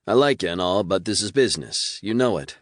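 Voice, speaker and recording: A man's voice: low-pitched, animated, brisk, no room sound, clean studio sound. A man voicing a breezy, folksy male demon who runs his business coldly and calls people Hoss.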